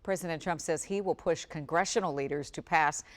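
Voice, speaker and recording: Low-pitched woman anchoring the news. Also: fairly quick. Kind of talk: broadcast news delivery.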